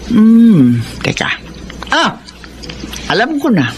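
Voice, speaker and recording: Showy, smug Filipino neighbor, unhurried and expressive, radio drama. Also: female voice, a little high, a little hiss or hum, heavily acted.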